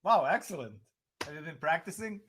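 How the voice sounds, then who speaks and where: A man with a Serbian accent hosts a casual livestream interview. He is relaxed, laughs easily and answers with short agreeing words. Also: on the high side, brisk. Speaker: show host.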